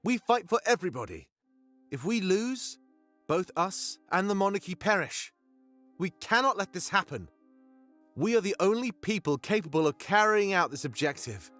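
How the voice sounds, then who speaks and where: An actor playing a fervent rebel leader in a fantasy video game, giving grandiose, oratorical speeches. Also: male voice, high-pitched, big pitch swings, moderate pace, a bit bright. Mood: earnest, rousing. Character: fervent male rebel leader, an anthropomorphic animal rallying his fighters. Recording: music behind the voice. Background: music.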